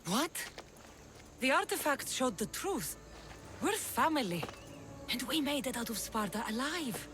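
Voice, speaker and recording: A woman's voice: sits high, big pitch swings, a little slow, pauses often, fairly bright tone, soft background music, heavily acted. A woman playing a tough but caring young warrior and older sister in a video game, emotional and insistent. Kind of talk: dramatic video game acting.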